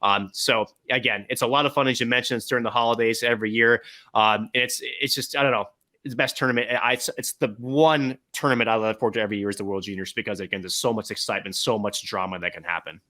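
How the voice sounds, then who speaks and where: A man's voice: on the low side, steady tone, fast, pushed and bright. A man co-hosting a podcast, talking hockey at length in casual chat with many fillers. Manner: long monologues, filler-heavy. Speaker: podcast co-host, hockey broadcaster.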